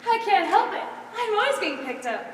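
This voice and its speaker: A woman's voice: very high voice, big pitch swings, brisk. A teenage girl on stage playing a timid, earnest young woman in a comic play. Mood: nervous, earnest. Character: timid young woman who laughs at everything. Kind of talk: high school stage play acting. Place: stage in a large hall, recorded from afar. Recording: echoey hall, distant microphone, background noise.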